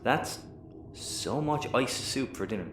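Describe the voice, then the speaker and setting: Brash Irish rogue starship captain; blustering, bossy, very animated delivery, sci-fi audio comedy. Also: male voice, mid-range voice, slow, echoey room, a little hiss or hum. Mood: dismayed. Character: brash, ne'er-do-well Irish male space captain.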